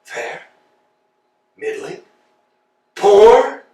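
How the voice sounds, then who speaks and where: An actor playing a pompous, blustering landowner in a stage reading, with grand theatrical delivery. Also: male voice, high voice, huge pitch swings, extremely slow, dark tone, heavily acted. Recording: echoey room from far off.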